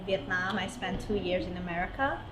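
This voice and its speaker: A young woman from Hungary, a podcast guest, talking in a relaxed, chatty way and laughing easily. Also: on the low side, a little fast. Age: young adult. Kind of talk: casual interview chat. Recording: roomy with clear background noise.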